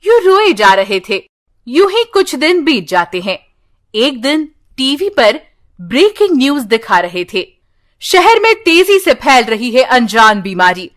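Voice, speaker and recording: A woman narrating a scripted moral story with slow, expressive, dramatic delivery. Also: high voice.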